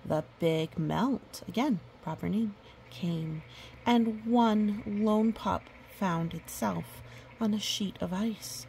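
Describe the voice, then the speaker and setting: A woman reading a picture book aloud to a young child, animated and repeating words for emphasis, with explanatory asides. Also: mid-range voice, takes it slowly, creaky, a little hiss or hum, rough recording.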